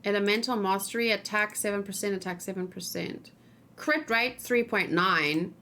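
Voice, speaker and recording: A woman's voice: mid-range voice, normal speed, pushed and bright. A woman streaming a game, thinking aloud in casual chat and often muttering to herself. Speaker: gaming streamer, host. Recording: noisy, with keyboard typing and clicking. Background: background noise, computer keyboard and mouse clicks.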